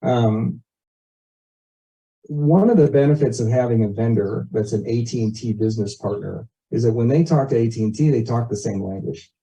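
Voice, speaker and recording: A man's voice: mid-range voice, moderate pace, vocal fry, mellow. A man giving an informal explanatory presentation at a community meeting, talking conversationally and using everyday examples. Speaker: resident presenting an internet proposal. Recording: muffled, dull meeting audio.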